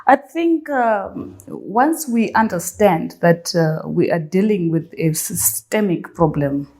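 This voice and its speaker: A woman hosting an interview speaks with passion and conviction. She argues her point emphatically and hits her key words with sharp stresses. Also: fairly low pitch, lively intonation, slow. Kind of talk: conversational interview.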